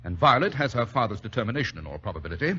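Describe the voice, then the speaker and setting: A male actor with a British accent playing a shrewd, self-assured gentleman detective in an old radio drama, speaking in a clipped, slightly husky, authoritative way. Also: medium pitch, very animated, rapid-fire, full character voice. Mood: musing, assured. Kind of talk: 1940s radio drama acting. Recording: dull lo-fi old radio recording.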